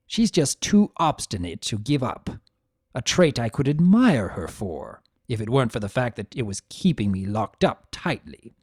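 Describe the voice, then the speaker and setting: A storyteller plays a wry, self-absorbed spirit of chaos narrating a fantasy tale. The delivery is theatrical, with wide pitch swings and a mellow tone. The recording is dry and close. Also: very animated, normal speed, husky, dry recording. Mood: bewildered, musing. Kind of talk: first-person dramatic storytelling.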